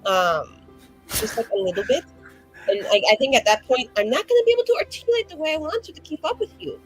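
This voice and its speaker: A woman's voice: high voice, wildly swooping pitch, fast talker, faint music behind, low-quality recording. A woman playing a tabletop game, rambling through in-character banter with profanity and giggles. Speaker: player voicing a mage character.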